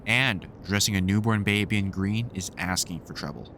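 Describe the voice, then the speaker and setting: A man's voice: fairly low pitch, normal speed, background noise, lightly acted. A man playing a protective older vampire hunter and mentor in an audio roleplay, talking in a reassuring, wry and casually sweary way. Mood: earnest warning. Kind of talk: one-sided audio roleplay, conversational comfort scene.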